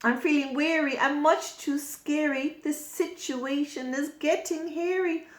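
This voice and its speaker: A woman's voice: sits high, fairly even intonation, measured pace, roomy sound, pitched above the performer's own voice. A woman voicing a fussy female storybook bird who chants insistent rhyming refusals. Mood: scared, insistent.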